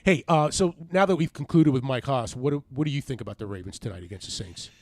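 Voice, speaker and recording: A man's voice: medium pitch, fast, darkish tone, no room sound. Sports radio man, close dry sound. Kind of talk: casual sports talk.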